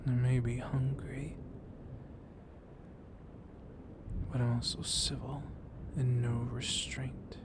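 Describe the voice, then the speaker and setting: A man voicing a civil, softly dominant, centuries-old vampire librarian in an ASMR roleplay, hushed and often slipping into whispers. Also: mid-range voice, measured pace, natural voice. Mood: teasing, seductive. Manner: hushed, breathy, often whispering, teasing with soft chuckles. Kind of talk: ASMR roleplay, intimate one-sided dialogue. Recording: noisy storm ambience behind voice. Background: thunder and steady ambient noise.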